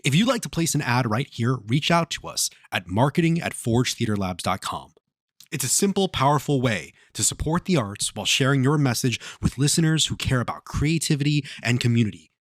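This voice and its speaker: A man's voice: medium pitch, animated, a little fast, no room sound, studio quality. A man reading a scripted promotional spot as an announcer.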